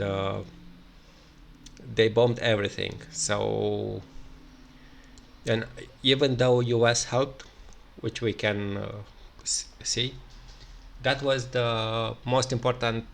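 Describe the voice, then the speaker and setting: A man with a Romanian accent, speaking English in an unscripted solo commentary, halting with many fillers and restarts. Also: on the low side, creaky, fairly bright tone. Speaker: video commentator.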